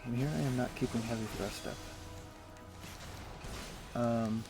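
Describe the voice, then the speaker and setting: A young man streaming a game from home, chatting casually with many fillers and restarts. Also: lowish voice. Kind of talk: casual gameplay chat. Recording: music playing behind voice. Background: music.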